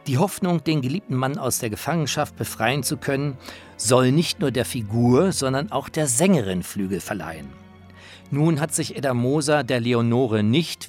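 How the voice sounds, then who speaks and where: A man narrating a radio feature with personal anecdotes in a scripted style. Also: mid-range voice.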